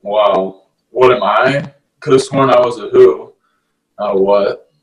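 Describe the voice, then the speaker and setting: A man's voice: on the low side, slow pace. Man playing a sarcastic teenage merman, echoey and distant. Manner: sarcastic, casual, teasing. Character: sarcastic laid-back sixteen-year-old merman. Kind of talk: screenplay table read acting. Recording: echoey, off-mic, faint noise.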